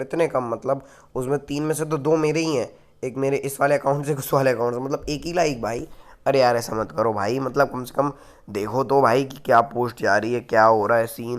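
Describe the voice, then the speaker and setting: Man, hoarse voice, chatty casual commentary, close mic. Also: medium pitch. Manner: chatty, says "bhai" often. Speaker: wrestling news channel host.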